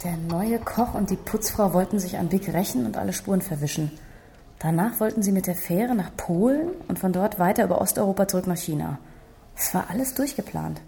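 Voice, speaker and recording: An actress playing a matter-of-fact police investigator in a radio crime drama, explaining soberly. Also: female voice, mid-range voice, fairly quick, vocal fry, warm tone, close to an everyday voice.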